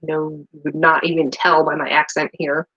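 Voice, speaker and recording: Low-voiced chatty middle-aged woman. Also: little pitch movement, normal speed, creaky, bright, forceful tone, slightly rough, lo-fi sound. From New England. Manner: chatty and rambling, many fillers. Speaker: soap maker and vlogger. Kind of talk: casual chat while making soap. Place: home kitchen.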